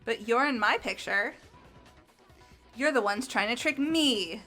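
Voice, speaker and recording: A woman's voice: a little high, expressive, measured pace, bright, forceful tone, soft background music, full character voice. A woman voicing an upbeat teenage girl in an amateur game dub, talking in an earnest, protesting way. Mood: puzzled.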